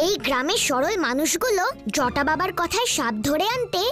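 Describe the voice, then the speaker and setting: A woman voicing a clever, bossy young ghost girl in a children's cartoon, in an expressive manner. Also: extremely high pitch, normal speed, faint music behind, heavily acted. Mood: suspicious. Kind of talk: cartoon voice acting.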